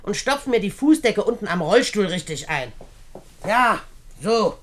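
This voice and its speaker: A woman's voice: medium pitch, sing-song, unhurried. An actress playing a domineering, stingy old invalid aunt in a radio play, imperious and nagging as she scolds. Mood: impatient, scolding. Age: older. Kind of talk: German radio play acting.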